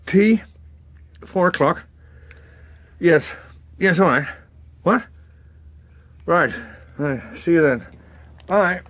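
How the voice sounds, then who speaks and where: A man's voice: fairly high pitch, animated, extremely slow, long pauses, a bit bright, full character voice. An actor playing an irritable, sardonic middle-aged English politician and father in a naturalistic radio drama. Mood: distracted. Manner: blustering, sardonic.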